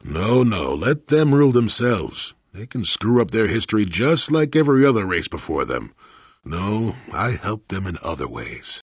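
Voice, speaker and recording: A male actor playing a crusty, wry old hermit and former Jedi in a video game, with a gravelly, husky voice and a grumbling storyteller's manner. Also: on the low side, very animated, normal speed, dry recording, clean studio sound, exaggerated voice. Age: older. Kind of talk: video game character dialogue.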